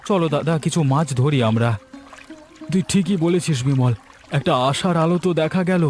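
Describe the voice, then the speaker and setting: A man's voice: on the high side, moderate pace, a little mellow, soft background music, lightly acted. A man voicing an earnest young village fisherman. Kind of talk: cartoon voice acting.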